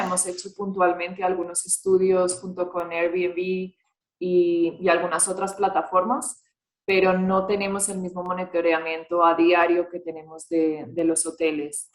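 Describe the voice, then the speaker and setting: A woman speaking as a guest expert on hotel data, answering webinar questions over a video call in a measured, careful way. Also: fairly low pitch. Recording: echoey room.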